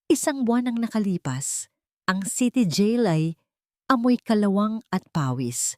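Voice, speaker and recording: A woman's voice: mid-range voice, very animated, unhurried, mellow, dry recording, studio quality. A woman with a Filipino accent narrating a scripted dramatic story.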